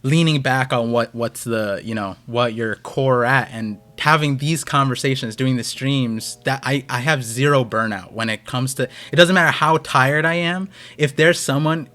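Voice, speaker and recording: A man's voice: medium pitch, moderate pace, few pauses, pushed and bright. A man hosting a stream, talking casually and rambling with lots of restarts. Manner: rambling, many fillers and restarts. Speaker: host.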